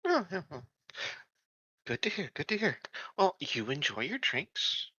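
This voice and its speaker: Man as an animated, earnest non-human security officer. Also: a little high, measured pace, husky, dry recording, muffled recording, pitched above the performer's own voice, heavily acted. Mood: friendly. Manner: hesitant, polite.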